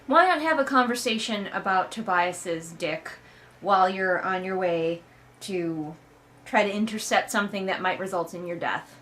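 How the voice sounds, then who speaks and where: A woman's voice: mid-range voice, expressive, normal speed, fairly bright tone. A woman giving a casual comedic commentary as a read-along host, sarcastic, emphatic and exasperated, with a conversational, sweary way of talking.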